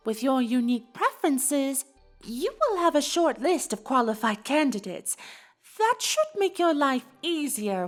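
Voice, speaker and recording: A woman voicing a refined, dramatic, confident unicorn pony socialite in an audio story, with genteel, theatrical delivery. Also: high voice. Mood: coaxing, assured. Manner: theatrical, genteel, advising with affectionate endearments. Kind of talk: scripted character voice in audio story.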